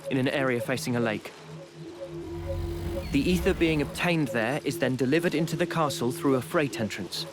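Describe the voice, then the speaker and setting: A man playing an earnest, resolute young soldier leader in a video game cutscene, speaking in a measured, thoughtful way. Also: mid-range voice, music in the background, close to an everyday voice.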